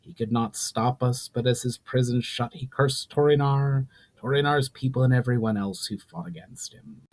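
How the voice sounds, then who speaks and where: An ancient sorceress of a fantasy storybook, played by a man, speaks with solemn, pained weariness. The delivery is slow and grave, as if weighed down by long grief. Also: medium pitch, steady tone, natural voice. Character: ancient grieving burning sorceress, solemn and weary.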